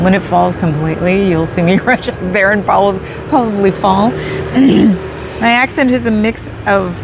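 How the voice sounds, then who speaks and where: Slow, giggly woman streamer, noisy car. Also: medium pitch. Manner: chatty, giggly, thanks viewers by name. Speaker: livestream host. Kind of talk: casual livestream chat with viewers. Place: in a parked car outdoors.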